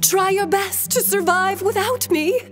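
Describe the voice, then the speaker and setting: A woman's voice: very high-pitched, a little slow. A woman playing a vain, grandiose spider ballerina in a children's cartoon, with a pompous manner. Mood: condescending. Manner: pompous, self-adoring.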